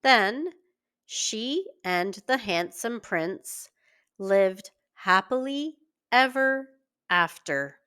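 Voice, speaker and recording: A woman narrates a storybook for young children. She takes her time over every phrase, going very slowly and deliberately. Her pitch moves in a lively, expressive way around a middling register. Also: clean tone. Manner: slow, deliberate, expressive delivery. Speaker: children's story reader and teacher. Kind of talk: scripted read-aloud storytelling for young children.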